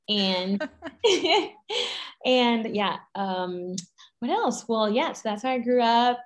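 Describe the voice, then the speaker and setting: A woman in her thirties, raised in Guatemala and Mexico, chatting as a podcast guest, bubbly and rambling with frequent laughter. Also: mid-range voice. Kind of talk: casual interview chat.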